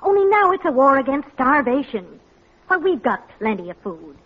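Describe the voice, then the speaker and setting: A woman's voice: high voice, sing-song, moderate pace. A woman acting an earnest, morally firm housewife in a 1940s radio comedy, lecturing with emphatic reproach. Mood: reproachful, grave. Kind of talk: 1940s American radio sitcom dramatic acting. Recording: muffled old radio recording.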